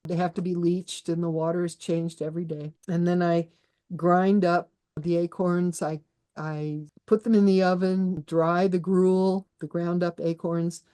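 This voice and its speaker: A woman guest born in Austria talks through her subject in a loose, conversational way. Her voice sits low and mellow, with frequent restarts and small laughs along the way. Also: level tone, a little slow, clear, resonant tone. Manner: chatty, many restarts, laughs while explaining. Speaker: author and herbalist guest. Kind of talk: conversational interview.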